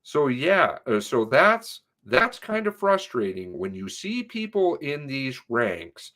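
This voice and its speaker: A man reads conversational testimony aloud at an unhurried pace. His pitch sits in a middle range but moves widely, and he leans on certain words to stress them. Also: big pitch swings, sharp tone. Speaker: narrator reading an interview transcript aloud. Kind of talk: read-aloud of spoken interview transcript with commentary.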